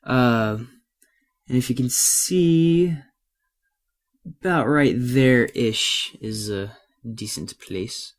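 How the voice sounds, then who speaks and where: A man with a cold and a slightly raspy voice gives a casual, unscripted software tutorial, hesitating and thinking aloud as he works. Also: medium pitch, drawn-out words. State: has a cold. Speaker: tutorial presenter.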